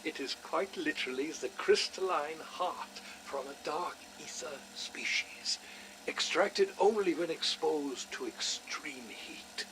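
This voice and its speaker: Erudite scientist, game audio log: unhurried, highish, lecturing, on a clearly noisy recording. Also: male voice. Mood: intrigued, studious. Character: studious, fascinated male scientist dictating research notes.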